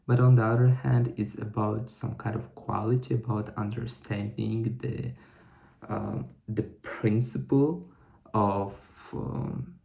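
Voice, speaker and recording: Young male vlogger, low-pitched, unhurried, halting self-reflection. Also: fairly even intonation, creaky, mellow. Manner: halting, thinking aloud with many restarts. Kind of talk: reflective solo vlog monologue.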